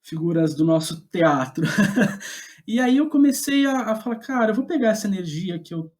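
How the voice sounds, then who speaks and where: A man's voice: high-pitched, lively intonation, moderate pace, a little mellow. A Brazilian man talks as an interview guest about his own working life. His delivery is casual and conversational, with a self-deprecating streak and a tendency to chuckle as he speaks. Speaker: guest playwright.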